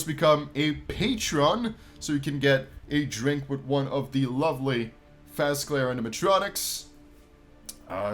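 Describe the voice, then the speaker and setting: A man hosting a gaming video, reading a visual novel aloud and commenting casually in a playful, storytelling way. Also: on the high side.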